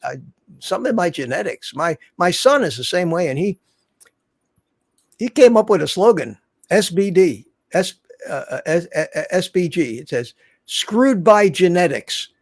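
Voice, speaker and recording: A man's voice: high-pitched, big pitch swings, moderate pace, no room sound. A man in his seventies hosting a casual livestream from his home studio, rambling and restarting his sentences as he chats. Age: 70s. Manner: chatty, rambling with many restarts. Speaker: livestream host. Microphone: studio desk mic.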